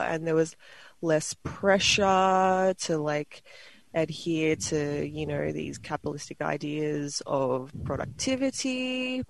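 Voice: medium pitch, dry recording. An Australian panel guest in their late twenties, speaking thoughtfully in casual chat with many fillers. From Melbourne, Australia. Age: 20s. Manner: thoughtful, unhurried, lots of "like" and "you know".

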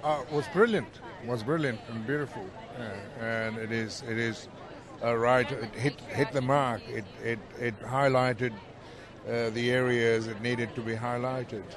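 A man's voice: mid-range voice, steady tone, a little slow, a crowd in the background. A man from Pakistan, speaking emphatically and repeating words for force at an outdoor rally. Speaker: rally attendee.

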